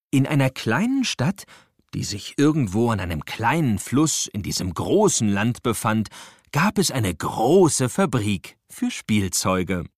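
A man's voice: medium pitch, dry recording, clean studio sound. A man narrating a scripted story for children in an audio play.

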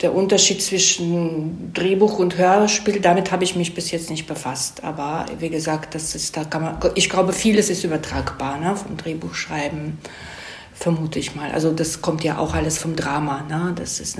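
A woman's voice: low voice, fairly even intonation, moderate pace. A woman giving casual explanations as an interview guest, thoughtful and hesitant, often correcting herself and checking for agreement. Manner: thoughtful, hesitant, self-correcting, seeks agreement with tag questions. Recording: roomy echo.

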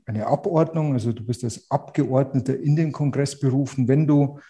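A man with a Bavarian accent speaks as a podcast guest, in a very dark, mellow voice. He is outspoken but hesitant, restarting his sentences often. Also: mid-range voice, normal speed. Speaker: real estate agent, interview guest. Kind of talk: podcast interview.

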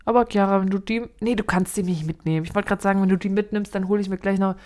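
A woman's voice: medium pitch, fairly even intonation, darkish tone. Chatty teasing young woman. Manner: chatty, teasing, quick asides. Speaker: podcast co-host. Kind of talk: playful improvised adventure role-play.

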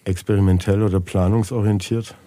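A man's voice: fairly low pitch, fairly even intonation, a little fast, dark tone. A man hosting a podcast in a casual, conversational interview style. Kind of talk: casual chat and short questions.

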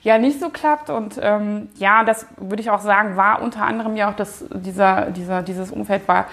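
Female, thirties, mid-pitched; casual interviewee who hedges and thinks out loud. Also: normal speed. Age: 30s. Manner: informal, hedging, thinking aloud. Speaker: company founder and managing director, interview guest. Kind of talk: casual interview answers, looking back.

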